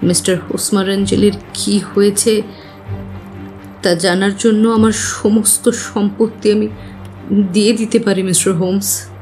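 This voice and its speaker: A woman playing an anxious, earnest client of modest means in an audio drama, speaking deliberately. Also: mid-range voice, steady tone, a little mellow, soft background music, natural voice. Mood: earnest, hesitant. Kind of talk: Bengali audio drama acting.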